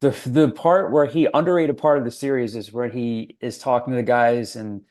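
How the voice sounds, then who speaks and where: A man's voice: medium pitch, fairly even intonation, a little fast, a little mellow. Young guy hosting a sports podcast, chatty, joking at himself, lots of likes and ums. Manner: chatty, self-deprecating, many fillers. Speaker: podcast host. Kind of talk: casual sports podcast chat.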